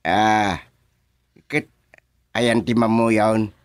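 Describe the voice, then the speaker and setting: A man's voice: fairly low pitch, fairly even intonation, drawn-out words. Agreeable village husband, played by a man. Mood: agreeable, planning. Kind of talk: Ilocano radio comedy drama acting.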